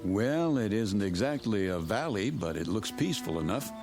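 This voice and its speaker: A man's voice: fairly low pitch, expressive, normal speed, over music. An actor playing a wry, conversational motorist on the road with his dog in a radio drama. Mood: friendly, dry.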